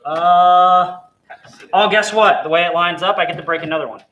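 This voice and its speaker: A young man from South Carolina talks through a computer build in a relaxed, chatty way. His voice sits a little high. The studio recording carries a clear hiss and background noise. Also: normal speed, lo-fi sound. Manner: relaxed, chatty, explains as he works. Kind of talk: casual hands-on demo chat. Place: hot studio.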